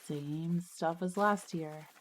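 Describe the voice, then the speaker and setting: A hardworking schoolgirl in an audio drama, with a fairly low voice. She speaks plainly and wryly, like someone who always ends up with the chores and is a bit exasperated by it. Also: slow. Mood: dry, frustrated. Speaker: character and first-person narrator.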